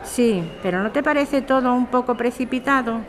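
A woman's voice: on the high side, normal speed, slight background noise, lightly acted. A woman with a Spanish accent from Spain playing a caring, questioning grown daughter in a radio drama. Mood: worried. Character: caring, doubtful grown daughter who runs a bar. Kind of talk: radio serial acting. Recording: crowd chatter behind the voice.

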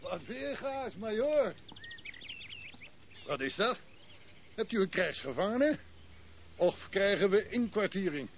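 High-pitched blustery old captain. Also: male voice, big pitch swings, slow pace, long pauses, heavily acted. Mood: blustering. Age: older. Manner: blustery, clipped, military. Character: gruff, grumbling old retired cavalry captain, rough-mannered. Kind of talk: Dutch radio play acting. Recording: muffled narrow-band old radio recording, noisy.

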